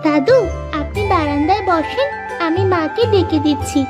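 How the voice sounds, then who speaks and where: A woman's voice: extremely high pitch, lively intonation, normal speed, music in the background. A woman voicing a sweet, kind little village girl in a fairy-tale cartoon, eager and caring.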